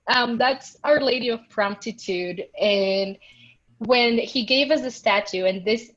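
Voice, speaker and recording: A woman from Mexico with a Mexican accent, a podcast guest earnestly recounting a personal experience over a video call. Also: medium pitch. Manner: earnest, thoughtful, recounts with emphasis. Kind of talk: reflective personal storytelling. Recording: muffled lo-fi video call audio.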